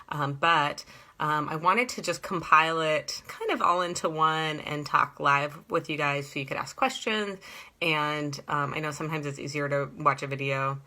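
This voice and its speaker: A woman's voice: low-pitched, pushed and bright. A woman wellness coach talking casually on a phone live stream, a little congested, chatty and scattered with laughing asides.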